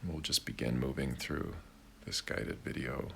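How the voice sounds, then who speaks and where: A man leading a guided meditation in a gentle, deliberate way, with long pauses between short phrases. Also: very deep voice, fairly even intonation, creaky, a little hiss or hum. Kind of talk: guided meditation, slow and unhurried.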